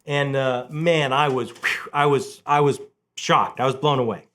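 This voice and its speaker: A man's voice: mid-range voice, steady tone, unhurried, a bit bright. A middle-aged man chatting casually and enthusiastically about books as a channel host, repeating phrases for emphasis. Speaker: host of a book review channel.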